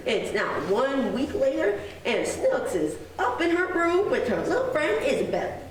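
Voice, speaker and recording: A woman's voice: high voice, big pitch swings, a little slow. A woman announcer reading a scripted advertisement and narration in the style of old-time radio. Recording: very echoey room, distant mic, noisy.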